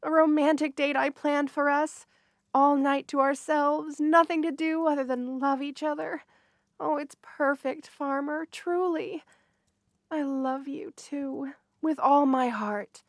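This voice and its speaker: A high, unhurried young woman's voice, acted by a woman for a romantic ASMR roleplay. She is sweet, shy and earnest, gently flustered and prone to hesitate mid-phrase. The recording is dry and intimate, with no room sound. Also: steady tone, dry recording. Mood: moved, tender.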